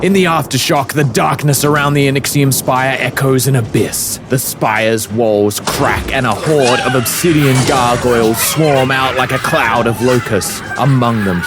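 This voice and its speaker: A man delivering dramatic scripted narration for a fantasy audio drama. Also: mid-range voice. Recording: prominent music bed behind narration. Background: dramatic music.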